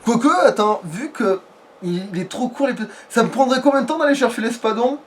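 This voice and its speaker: A man's voice: very high voice, animated, fairly quick, a little hiss or hum. A man giving casual let's play commentary, rambling and playful, swearing now and then. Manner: rambling, playful, mildly foul-mouthed. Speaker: let's player, playing and hosting the video.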